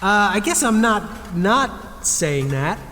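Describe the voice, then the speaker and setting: Male lead for audio drama: nervous everyman, high, animated, halting delivery, echoey cavern. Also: heavily acted. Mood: nervous, confessing. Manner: hesitant, halting then blurting. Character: nervous, awkward, honest everyman, anxious to please. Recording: long echoey reverb, music underneath.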